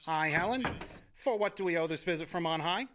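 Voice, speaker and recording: An actor playing an easygoing, wisecracking radio studio engineer in an audio drama, with casual dry banter. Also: male voice, fairly high pitch, a little fast, background noise, lightly acted. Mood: wry, easygoing.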